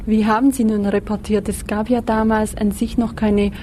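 Mellow, even-toned woman interviewer. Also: mid-range voice, normal speed. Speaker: radio interviewer. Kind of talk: interview questioning. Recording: 1970s radio recording, faint background noise.

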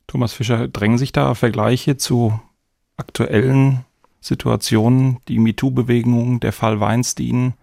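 A man's voice: mid-range voice, flat delivery, a little slow, creaky, warm tone, no echo, studio quality. A man hosting a panel discussion, putting pointed, structured questions to guests. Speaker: host.

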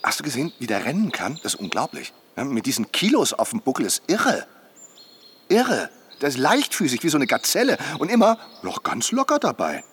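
An actor playing a boisterous, jovial middle-aged husband in a naturalistic radio drama, garrulous and bantering. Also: male voice, mid-range voice, huge pitch swings, fairly quick, a bit bright, a little hiss or hum. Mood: enthusiastic, mocking.

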